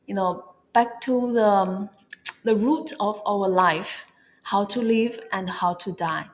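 A woman from China with a Chinese accent, speaking English as a second language, talking as an interview guest in a halting way, often searching for words. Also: medium pitch. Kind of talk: casual interview talk.

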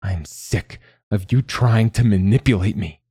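A man's voice: lowish voice, animated, moderate pace, dry recording, clean studio sound. A man voicing a cruel, scornful mother who berates her child in a harsh, hissing way in an audiobook. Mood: furious. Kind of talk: dramatised audiobook narration.

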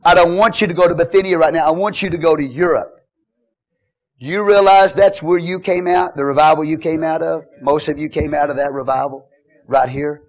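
Male pastor preaching, loud and jokey. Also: on the high side, fairly even intonation, a little fast. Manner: jokey, swings from quiet asides to loud exhortation. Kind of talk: sermon.

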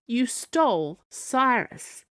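A woman reading the part of a proud, hot-tempered young princess who speaks high and indignant. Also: big pitch swings, drawn-out words, a bit bright, dry recording, clean studio sound, heavily acted. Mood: accusing.

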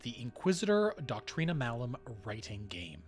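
A man's voice: medium pitch, very animated, normal speed, soft background music. A man hosting a podcast, delivering scripted announcements. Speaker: podcast host.

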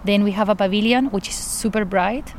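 A woman's voice: mid-range voice, brisk. Argentine woman garden coordinator, earnest, light laughs, faint outdoor noise. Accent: Argentine Spanish. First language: Spanish. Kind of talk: interview, personal account.

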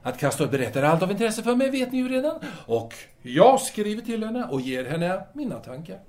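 A man's voice: high voice, very animated, darkish tone. An actor playing a self-assured, genial male intellectual in an audio drama, hemming and repeating himself in an avuncular manner. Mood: frank, self-assured. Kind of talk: Swedish audio drama dialogue.

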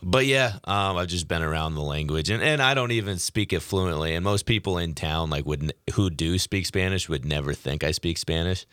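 Very deep-voiced man laughing easily. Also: normal speed, few pauses, a bit bright, smooth, no room sound, clean studio sound. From family from Oaxaca, Mexico. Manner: laid-back, laughs often, with restarts. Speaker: podcast co-host, former Spanish teacher. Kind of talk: casual chat.

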